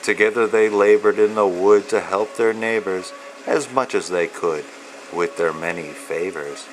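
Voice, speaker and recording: Low-voiced man reading slowly. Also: rough recording. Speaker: author and illustrator reading own children's book. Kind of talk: read-aloud storytelling of rhyming children's book.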